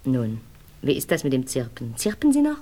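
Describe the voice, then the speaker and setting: Husky, low-voiced seductive theatre diva. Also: female voice, wildly swooping pitch, a little fast, dark tone, exaggerated voice. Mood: capricious, playful. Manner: teasing, imperious, mocking, drops into breathy whispers. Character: capricious, seductive theatre actress toying with her lover. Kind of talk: German-language radio play acting.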